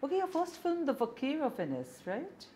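A middle-aged woman, hosting an interview with brisk questions and short affirmations. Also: high voice, huge pitch swings, warm tone, a little hiss or hum. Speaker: interviewer, show host.

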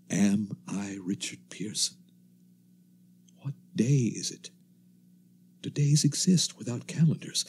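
An actor playing a haunted, weary professor and lone survivor, in a hushed, halting radio monologue. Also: male voice, mid-range voice, slightly rough, heavily acted. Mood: lost, despairing. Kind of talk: dramatic first-person radio monologue.

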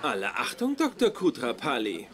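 A man's voice: a little high, wildly swooping pitch, normal speed, a loud crowd. A man playing a pompous, pedantic nerd scientist in a dubbed sitcom. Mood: smug, teasing. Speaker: voice actor in a sitcom dub. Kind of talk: German-dubbed sitcom dialogue. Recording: noisy sitcom soundtrack.

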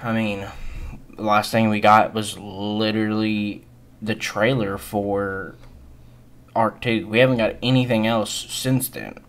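A man's voice: on the low side, fairly even intonation, slow pace, a bit bright. A man of twenty-one giving casual, unscripted gaming commentary in a hesitant, rambling and resigned manner. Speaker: gaming video creator.

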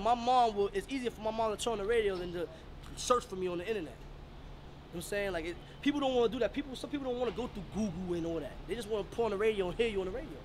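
A man's voice: high voice, a little hiss or hum. A man, a rapper, talking emphatically in street slang and repeating himself for emphasis. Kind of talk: casual interview.

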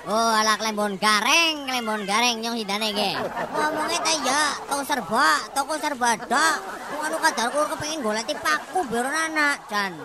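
A woman's voice: high voice, normal speed, hardly any pauses. A woman with a Banyumasan Javanese accent playing a grumbling customer in a radio comedy sketch. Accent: Banyumasan Javanese (Ngapak). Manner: grumbling, mocking complaints. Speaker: complaining customer. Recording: old radio recording, crowd and noise behind.

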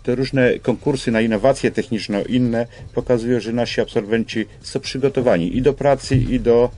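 An older man explains things as a guest in a radio interview, with halting phrasing, on a studio microphone. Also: medium pitch, a little mellow, faint music behind. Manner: halting, hesitant phrasing with repeated starts. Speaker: school representative, radio guest. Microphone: studio microphone on boom arm.

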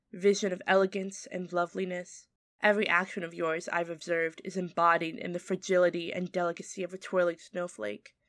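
A woman playing an adoring secret admirer, reciting a poetic love letter in measured ASMR roleplay style. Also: on the low side, a bit bright, dry recording, studio quality.

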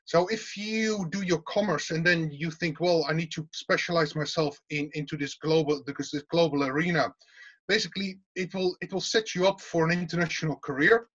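A man's voice: on the high side, fairly quick, fairly bright tone. Dutch-accented man on a video call. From Netherlands. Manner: informal, repeats words and restarts often. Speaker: university teaching fellow. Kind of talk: casual interview answers. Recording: dull lo-fi video call audio.

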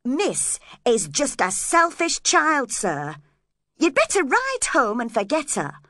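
A woman's voice: mid-range voice, very animated, unhurried, a bit bright, slightly rough, no echo, clean studio sound, exaggerated voice. A woman voicing an outspoken female household servant in a storybook, frank and reproving. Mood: scornful.

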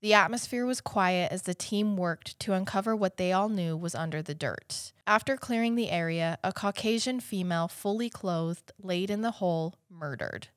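A woman's voice: fairly low pitch, moderate pace, hardly any pauses, no room sound, studio quality. Congested young American woman, podcast storyteller. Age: young adult. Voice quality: slightly congested. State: has a cold. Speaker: podcast co-host. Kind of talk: true-crime storytelling, conversational. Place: small indoor podcast studio. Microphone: close studio mic on boom arm, headphones.

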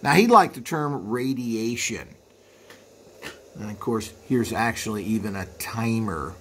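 A man with a fairly low voice talks through equipment in an informal, explanatory way. He rambles as he goes, like a channel host filming by hand in a workroom. There is clear noise and room ambience behind him. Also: animated, a little slow, fairly bright tone. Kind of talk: informal hands-on product walkthrough.

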